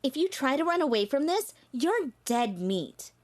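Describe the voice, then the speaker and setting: Casting: actress for haughty mean-girl bully in an anime-style cartoon, high voice, lively intonation, condescending and domineering. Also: unhurried. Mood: threatening.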